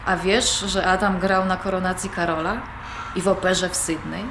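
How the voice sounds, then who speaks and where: A woman's voice: lowish voice, little pitch movement, moderate pace, lightly acted. A young woman playing an independent-minded granddaughter, conversational and questioning, in audio drama dialogue. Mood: lightly upbeat. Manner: conversational, questioning, mildly protesting. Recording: roomy recording with background noise.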